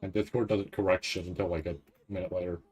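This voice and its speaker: A man with a fairly low voice talks like a streamer reacting live. He rambles casually, thinks out loud as he goes and swears freely. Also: level tone, quick pace, breathy edge, soft background music. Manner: rambling, thinking aloud, profane. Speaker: streamer reacting to an anime trailer.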